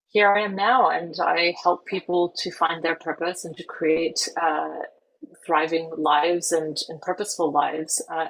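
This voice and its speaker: A woman's voice: lowish voice, low-quality recording. A woman in her forties from Singapore with a hard-to-place international accent, talking earnestly as a coach guest on a podcast, with many fillers and restarts. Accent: hard-to-place international English. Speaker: guest, life and career coach.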